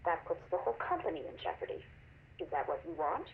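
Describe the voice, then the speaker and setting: A woman playing a cold, menacing corporate executive in a video game, stern and measured over a telephone. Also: lowish voice, sing-song. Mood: cold, pressuring. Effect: telephone filter. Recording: muffled, noisy phone recording.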